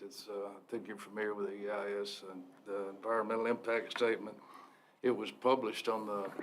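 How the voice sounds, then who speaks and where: A man serving as a deputy forest supervisor, briefing a county commission in a steady, explanatory way with frequent "uh" pauses. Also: fairly low pitch, slight background noise, low-quality recording. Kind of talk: briefing at a public meeting. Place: county commission meeting room.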